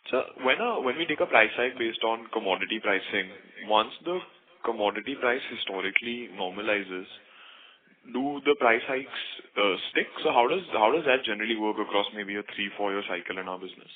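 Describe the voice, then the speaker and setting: A man's voice: lowish voice, expressive, fairly quick. A man with an Indian accent politely asking questions as an investment analyst on an earnings call. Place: phone conference call. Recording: narrow, tinny phone line.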